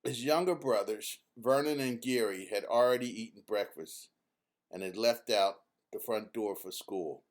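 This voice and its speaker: Slow-paced man reading a children's story. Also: lowish voice. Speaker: author reading his own book.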